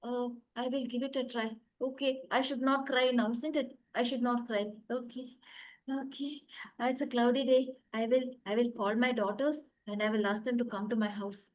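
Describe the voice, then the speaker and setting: A woman's voice: little pitch movement, quick pace, natural voice. A woman doing a wailing, fretful old mother. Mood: calming. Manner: wailing, high and tearful.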